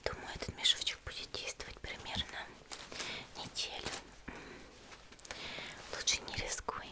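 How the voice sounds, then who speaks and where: Softly whispering woman, gentle ASMR roleplay. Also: a little slow. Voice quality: breathy, unvoiced whisper. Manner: gentle, soothing, reassuring. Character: gentle caring young female demon-slayer healer tending a patient.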